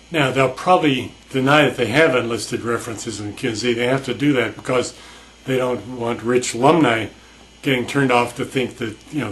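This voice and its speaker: An older man telling a long anecdote in a wry, deadpan way, with frequent restarts and fillers. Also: mid-range voice, poor audio. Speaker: storyteller. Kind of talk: casual spoken anecdote.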